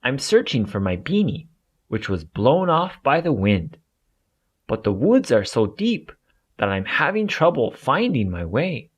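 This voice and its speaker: A man's voice: medium pitch, big pitch swings, measured pace, breathy edge, muffled recording. A man voicing a polite, earnest little teddy bear in a children's storybook. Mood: worried. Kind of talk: children's storybook read-aloud.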